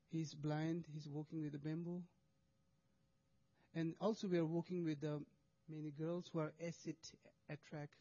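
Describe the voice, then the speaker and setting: A man's voice: a little high, warm tone, dull audio. A man presenting charity work to an audience, speaking hesitant English with many restarts and fillers.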